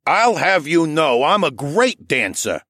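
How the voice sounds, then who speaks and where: A man voicing a small, drunk old male koala elder with a loud, thundering voice. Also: fairly high pitch, sing-song, a little slow, slightly rough, no room sound, studio quality, pitched above the performer's own voice, exaggerated voice. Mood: boisterous.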